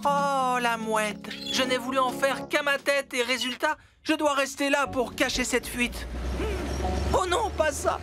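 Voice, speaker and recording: High-pitched excitable young cartoon digger. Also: unhurried. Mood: worried. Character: eager, mischievous young talking digger machine, fibbing and excitable. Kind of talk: French-dubbed children's cartoon acting. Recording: music behind the voice. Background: cartoon background music.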